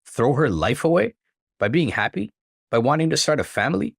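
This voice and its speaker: Man reading as an earnest, animated husband. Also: fairly high pitch, brisk, creaky, husky, no echo, studio quality, voice pushed up, full character voice. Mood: indignant. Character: hurt, devoted young husband, protective of wife.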